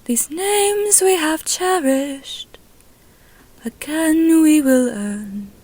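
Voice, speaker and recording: A woman narrator playing a young woman who slowly sings a solemn funeral blessing in a story. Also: sits high, warm tone, no echo, voice pushed up. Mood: solemn, reverent. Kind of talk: slow chanted singing.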